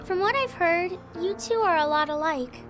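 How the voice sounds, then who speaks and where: A woman voicing a gentle, caring young woman in a visual novel game, with a sincere, consoling manner. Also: very high voice, lively intonation, normal speed, over music. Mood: tender, earnest.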